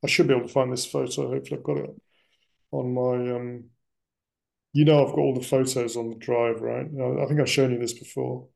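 Middle aged guy, super creaky gravelly voice, chatting about family history, kinda halting and rambly. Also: mid-range voice, flat delivery, a little mellow. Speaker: interviewee sharing family history. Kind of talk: reflective personal storytelling in casual conversation.

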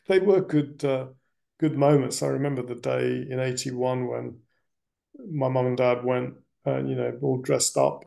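A middle-aged man telling family history in a reflective, conversational interview style, often pausing and circling back mid-phrase. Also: mid-range voice, creaky, dark tone, low-quality recording. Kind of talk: reflective personal storytelling in casual conversation.

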